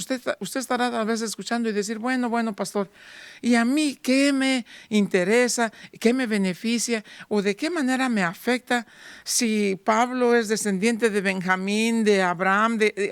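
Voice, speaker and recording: Man, pastor, sermon, high voice, dry recording, rhetorical questions. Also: moderate pace, fairly bright tone, smooth, dry recording. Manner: rhetorical questions, voicing doubting listeners.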